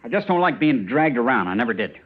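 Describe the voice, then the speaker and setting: Fast, defiant American drifter. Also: male voice, mid-range voice, very animated. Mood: sullen. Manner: surly, defensive. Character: defiant, sullen drifter accused of murder. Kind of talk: old time radio western acting. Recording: muffled, noisy old radio recording.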